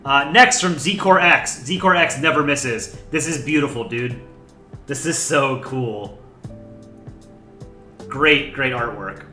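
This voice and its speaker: A young man hosting a casual livestream, chatting in a relaxed, rambling way and thanking viewers by name. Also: mid-range voice, takes it slowly, pauses often, echoey room, faint music behind. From United States. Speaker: livestream host. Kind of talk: casual chat.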